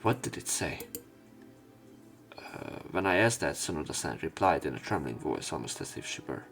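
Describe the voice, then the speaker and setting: Male let's play narrator: low pitch, story-reading delivery, faint music behind. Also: big pitch swings, moderate pace, creaky, fairly bright tone. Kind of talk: reading visual novel script aloud, dramatic narration. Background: faint game music.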